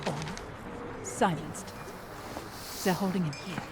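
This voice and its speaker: A woman's voice: on the low side, slow. A woman playing a steely female underground informant in a game scene, terse and hard-edged. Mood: grave request. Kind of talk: video game cinematic acting. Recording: loud noise and ambience behind.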